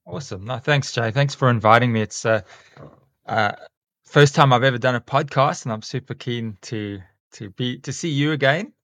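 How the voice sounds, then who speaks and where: A man in his forties with a South African accent, a school teacher talking casually as a podcast guest and often pausing with ums and restarts. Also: mid-range voice, a bit bright, dry recording, clean studio sound. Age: 40s. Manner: rambling, many ums and restarts.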